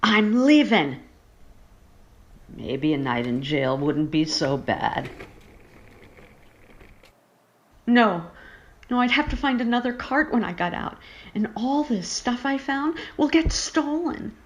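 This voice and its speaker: A woman's voice: fairly high pitch, sing-song, measured pace, lo-fi sound. Casting: actress for elderly female vagrant in a horror radio play, plaintive, indignant, given to muttering to herself. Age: older. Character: weary, indignant homeless old bag lady who mutters to herself. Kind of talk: audio horror drama acting.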